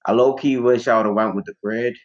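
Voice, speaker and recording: A man's voice: mid-range voice, steady tone, a little fast, muffled recording. A young man from Ghana, a musician guest on a podcast, talking in a laid-back, slangy, rambling way. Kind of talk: casual interview chat.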